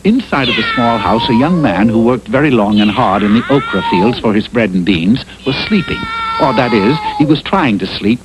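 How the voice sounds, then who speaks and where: A man's voice: mid-range voice, huge pitch swings, moderate pace, few pauses. A man narrating a cartoon fairy tale in a tongue-in-cheek storybook style, on an old TV soundtrack. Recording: old taped TV cartoon soundtrack, faint noise.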